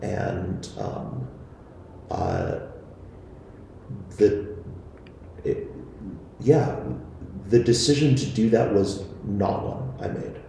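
A man's voice: lowish voice, huge pitch swings, extremely slow, creaky, pauses often, mellow, slight background noise. A man being interviewed about his podcast, talking hesitantly with many fillers and restarts. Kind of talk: casual interview answers. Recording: very echoey room.

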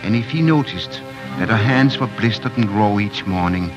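Male narrator, deep-leaning voice, solemn, lyrical, dramatic storytelling, vintage radio, music underneath. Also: animated, moderate pace, lo-fi sound. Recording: old radio recording with music behind.

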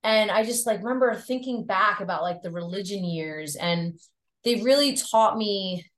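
A woman's voice: medium pitch, normal speed. A woman from Long Island with a faint Long Island accent, chatting as a podcast guest and saying "like" a lot. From Long Island, New York. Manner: chatty, heavy use of "like". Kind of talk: casual interview chat. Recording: roomy echo.

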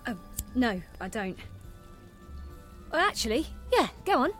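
A young actress playing a teenage new park worker with a British accent, in naturalistic audio drama style. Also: female voice, fairly high pitch, big pitch swings, a little slow, a bit bright, faint music behind. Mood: dry. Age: young adult.

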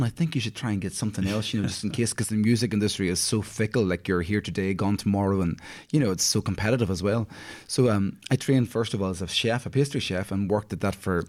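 Chatty Northern Irish man, lowish voice. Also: a little mellow. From County Derry, Northern Ireland. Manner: chatty, friendly, frequent you-knows. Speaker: interview guest, singer. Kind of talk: casual reminiscing interview chat.

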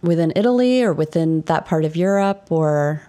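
A woman's voice: low-pitched, lively intonation, normal speed, mellow. A woman podcast co-host asking encouraging, curious questions about her guest's background. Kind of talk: interviewing in a podcast chat.

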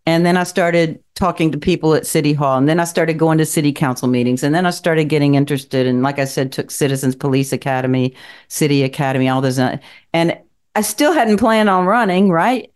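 A woman's voice: low voice, a little fast, a little mellow, smooth. A woman in her sixties from Kentucky, a guest telling stories in a chatty podcast conversation and laughing often. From Kentucky, Appalachian Mountains. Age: 60s. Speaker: guest, city council member. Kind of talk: casual chat.

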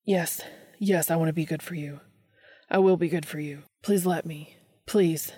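A woman voicing an eager, desperate adult man in a romantic audio story, speaking quickly and pleadingly. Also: sits low, steady tone, mellow, slightly rough, dry recording, studio quality.

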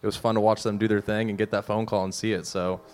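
A man's voice: lowish voice, level tone, fast, clear, resonant tone. A young man on a panel speaking in an easygoing, smiling way. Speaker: baseball player panelist.

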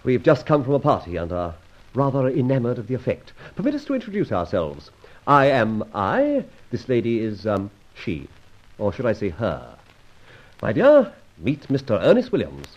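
An actor playing a suave gentleman adventurer in a radio drama, urbane and teasing, with a theatrically polite manner and a husky voice. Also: medium pitch, wildly swooping pitch, normal speed. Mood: mocking, playful. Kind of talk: old radio drama acting. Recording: dull vintage radio recording.

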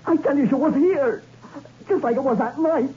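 A man's voice: extremely high pitch, normal speed. An actor playing a troubled, tough-talking man in a 1960s radio suspense play, hesitant and stammering, with hard-boiled slang. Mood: panicked, bewildered. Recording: muffled, noisy old radio recording.

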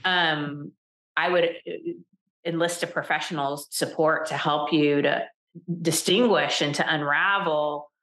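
Candid, lower-pitched woman guest. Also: a little slow, rough recording. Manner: candid, animated, laughs easily, repeats words when restarting. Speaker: podcast guest, leadership coach. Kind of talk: conversational interview.

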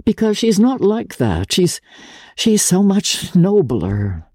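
A woman voices a lovestruck young gentleman in a literary drama. He is earnest and intense, halting over his words, with a dark, husky tone and a slow delivery. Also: medium pitch, wildly swooping pitch, no room sound, clean studio sound, voice pushed up, full character voice. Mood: earnest, flustered. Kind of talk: dramatic literary audiobook character reading.

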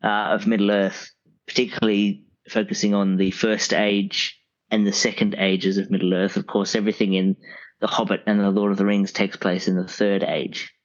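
A man speaking as an interview guest, explaining conversationally in measured, hesitant phrases on dull, band-limited audio. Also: on the low side, level tone. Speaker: podcast guest, audiobook expert.

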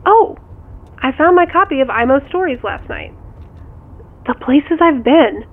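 A woman's voice: high-pitched, animated, unhurried. Teasing woman over a tinny radio. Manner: playful, teasing. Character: teasing, chatty woman friend on a call. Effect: radio or phone filter. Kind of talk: naturalistic audio drama dialogue. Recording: tinny, narrow-band radio sound.

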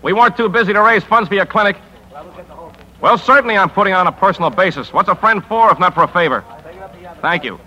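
A man's voice: sits high, quick pace, sharp tone, rough recording. A man playing a hard-driving, brusque newspaper editor in 1950s radio drama style, clipped and commanding. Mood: urgent, persuasive. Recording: vintage 1950s radio broadcast recording.

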